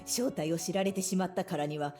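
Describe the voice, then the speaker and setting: A woman's voice: on the low side, racing, over music, close to an everyday voice. An actress voicing a mischievous, dramatic grown woman in a visual novel, playful and teasing. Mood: mock sinister.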